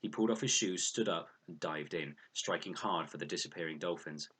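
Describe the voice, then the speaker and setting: Low-voiced man reading an adventure story. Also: a little fast, a bit bright, husky. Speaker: audiobook reader. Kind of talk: expressive scripted storybook narration.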